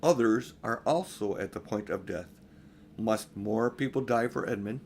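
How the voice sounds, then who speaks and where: A man's voice: lowish voice, moderate pace, slight background noise, lightly acted. An older man voicing a grave, commanding lion king in a storybook, stern and solemn.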